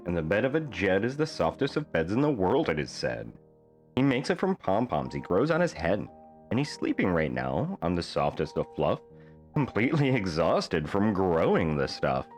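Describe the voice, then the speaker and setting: A man reading a rhyming children's bedtime story aloud in a playful, theatrical manner with deliberate phrasing. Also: sits low, big pitch swings, faint music behind. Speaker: storybook reader.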